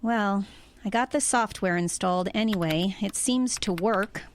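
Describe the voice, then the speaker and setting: A woman's voice: mid-range voice, a little slow, lightly acted. A woman playing a practical, exasperated single mother with a weary, sarcastic manner in an audio drama.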